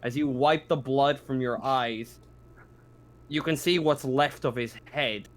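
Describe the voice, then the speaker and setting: A man's voice: medium pitch, takes it slowly. A man working as game master, narrating a tabletop roleplaying game in vivid description mixed with informal rules talk.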